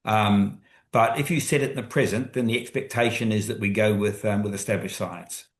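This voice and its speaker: A man's voice: on the low side, steady tone, brisk, reverberant. A man with an Australian accent, speaking as a guest author in a webinar, thoughtful and hesitant with many fillers. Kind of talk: webinar conversation. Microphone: video call.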